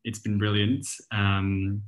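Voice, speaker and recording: Young male moderator, lowish voice, friendly and chatty on a video call. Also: flat delivery, slow pace, slight background noise. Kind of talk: moderating a talk.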